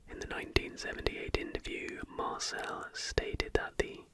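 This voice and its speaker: An ASMR host reads in a steady, breathy whisper with no voicing at all. The delivery is hushed and measured, in the manner of scripted narration. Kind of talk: ASMR reading of conspiracy history, scripted narration with brief asides.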